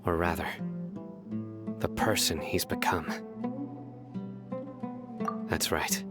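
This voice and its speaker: A man voicing a stern, principled young lawman in a video game, speaking in a measured, solemn, formal way over music. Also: low-pitched, level tone. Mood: grave.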